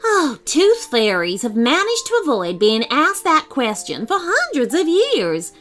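A woman voicing a brisk, bossy little female tooth fairy who grumbles and snaps in a storybook reading. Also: sits high, huge pitch swings, fairly bright tone, soft background music, voice pushed up, full character voice. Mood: exasperated. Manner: snappy and fussy, grumbling.

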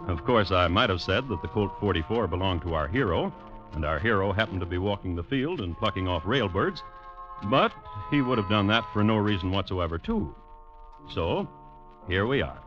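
A man hosting and narrating old-time radio true crime in a deadpan, wry, deliberate way. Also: on the low side. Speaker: host and narrator. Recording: muffled old radio recording. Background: music.

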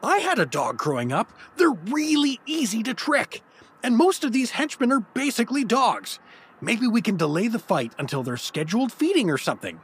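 A man's voice: extremely high pitch, big pitch swings, moderate pace, fairly bright tone, breathy edge, exaggerated voice. A man playing a hapless, nervous, wry everyman hero in a comedic fantasy audio drama, with an incredulous conversational manner. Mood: nervous pleading.

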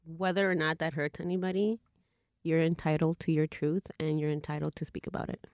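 A woman's voice: low-pitched, flat delivery, a little fast, a little mellow, no room sound, clean studio sound. Hesitant woman co-host. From United States. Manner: hesitant with "um" starts, self-conscious. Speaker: podcast co-host and tarot reader. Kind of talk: casual podcast chat.

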